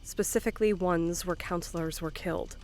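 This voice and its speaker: A woman's voice: on the low side, steady tone, brisk, darkish tone, lightly acted. A woman playing an earnest, reserved but determined young female camp counselor in an audio drama, sincere and plain-spoken. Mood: serious, firm.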